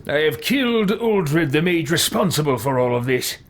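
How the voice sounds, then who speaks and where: A man's voice: a little high, very animated, normal speed. A man voicing an imperious, self-serving and panicky villain in a theatrical, pompous game roleplay. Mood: haughty, scheming. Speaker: let's-play player role-playing his character.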